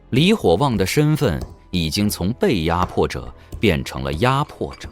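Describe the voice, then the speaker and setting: A man reading scripted, dramatic narration for a fantasy audio drama. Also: on the low side.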